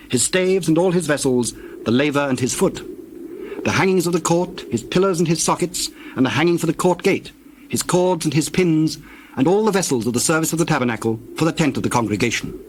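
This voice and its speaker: A male narrator reads scripture at a steady, measured pace. His voice sits slightly high. Faint ambient birdsong hums underneath. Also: slight background noise.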